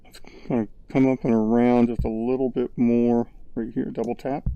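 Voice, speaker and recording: A man narrating his VR sculpting session in a relaxed, rambling way, thinking aloud with lots of fillers, recorded on a headset's built-in mic. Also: mid-range voice, moderate pace, dry recording, lo-fi sound. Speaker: VR sculpting creator. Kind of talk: casual tutorial narration.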